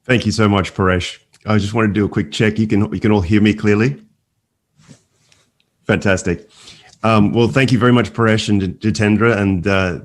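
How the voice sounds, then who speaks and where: A man with an Australian accent speaks in a deep, low register. He is presenting to a conference remotely over a video call, walking listeners through his material as an invited speaker. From Malaysia, later Australia. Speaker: investment fund founder and guest speaker.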